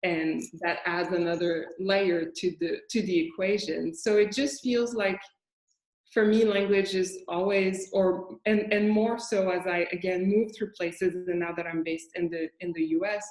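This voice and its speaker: A woman's voice: fairly low pitch, fairly even intonation, measured pace, a little mellow. Reflective woman in an echoey room. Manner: reflective, searching for words. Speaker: curator and guest critic. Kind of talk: casual panel conversation. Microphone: video call.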